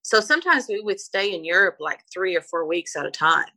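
A Brazilian woman, a native Portuguese speaker, recalls her work as a private flight attendant in a relaxed interview. Her voice sits slightly low but sounds bright and forward. She talks in loose, stop-start runs full of fillers and restarts. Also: brisk, rough recording. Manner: hesitant, many fillers and restarts. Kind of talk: casual interview storytelling.

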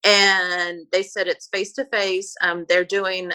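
A woman's voice: on the low side, flat delivery, slow pace, sharp tone, clean tone, rough recording. A Brazilian woman whose first language is Portuguese, a private flight attendant telling stories in a casual interview, hesitant with many fillers and restarts.

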